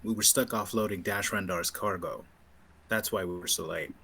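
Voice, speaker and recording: A man reading a composed, persuasive rebel officer with a husky voice in a sci-fi table read. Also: low voice, fairly even intonation, moderate pace, sharp tone, full character voice. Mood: friendly, dry.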